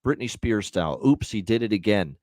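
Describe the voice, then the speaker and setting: A man's voice: lowish voice, a little fast, no echo, clean studio sound. A man hosting a sports betting show, reading promos and picks with lots of "uh" fillers and repetition. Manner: energetic, repeats himself, many "uh" fillers. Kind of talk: solo podcast promo and picks.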